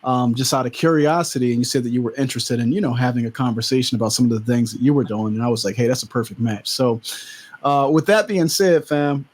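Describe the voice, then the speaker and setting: Man, host, casual interview, relaxed, mid-pitched. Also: quick pace. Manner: relaxed, lots of fillers and restarts.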